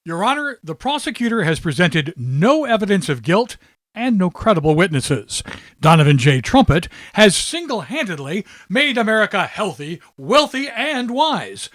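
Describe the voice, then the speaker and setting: A man plays a grandiose, flattering defense attorney in a satirical radio play. His voice is high and swoops animatedly through effusive, pompous oratory, with very little room sound. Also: moderate pace, dry recording, clean studio sound. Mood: fawning, grandiose. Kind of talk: satirical radio drama courtroom acting.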